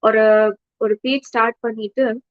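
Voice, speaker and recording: A woman's voice: a little high, flat delivery, rapid-fire, no echo. A young woman with a Tamil-accented English voice and a cold, giving a casual slide talk with many fillers and switches into Tamil. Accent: Indian English, Tamil. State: has a cold. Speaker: alumna entrepreneur giving a talk. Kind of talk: informal presentation with slides. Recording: muffled dry sound.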